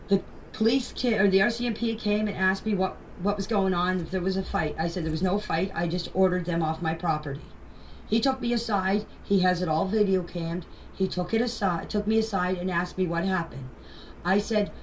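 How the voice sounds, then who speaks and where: An older woman from Canada gives an earnest, defensive personal account in a voice message, insisting on her points and repeating them. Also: on the low side. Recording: distant, noisy phone voice message.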